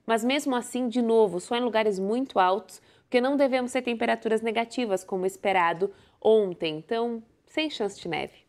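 Young woman doing the tv weather, playful and animated, a bit high voice, studio lapel mic. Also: a little fast, few pauses. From Brazil. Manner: lively, playful, gesturing while presenting. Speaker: weather presenter. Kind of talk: broadcast weather forecast. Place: TV studio in front of a video wall.

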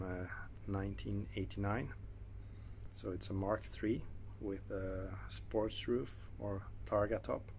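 A man in his thirties with a Swedish accent, talking casually to a phone camera in a garage, with many pauses and fillers. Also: lowish voice, gravelly, darkish tone, slight background noise. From Sweden. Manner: halting, many fillers and self-corrections. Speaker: car hobbyist vlogger. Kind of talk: casual selfie vlog. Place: indoor garage. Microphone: phone held at arm's length.

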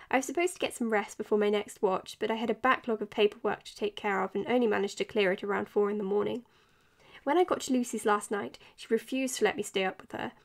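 A woman's voice: on the high side, close to an everyday voice. An actress playing a caring, tired woman doctor in a naturalistic audio drama, speaking earnestly and hesitantly. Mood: tired, hopeful. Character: anxious, caring, tired young female doctor. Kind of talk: naturalistic audio drama, confessional podcast narration.

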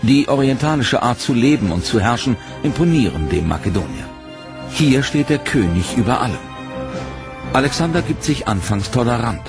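A man reads a history documentary narration with a measured, serious delivery. His voice sits at an ordinary middle pitch, and a prominent dramatic music bed runs underneath him throughout.

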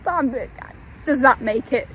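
A woman's voice: high-pitched, measured pace, sharp tone, poor audio. A young woman giving chatty, opinionated vlog commentary outdoors, filmed on a handheld phone. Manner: chatty, opinionated, self-interrupting. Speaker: vlogger. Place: outdoors by a football stadium. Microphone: handheld phone at arm's length. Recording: outdoor noise behind the voice.

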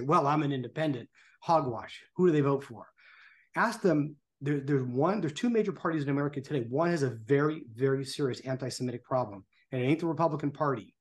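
A man in his fifties hosting a webcast, talking in an emphatic, plain-spoken way on a video call. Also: a little high. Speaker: show host. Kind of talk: opinion talk and guest introduction on a video webcast. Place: small indoor room on a video call.

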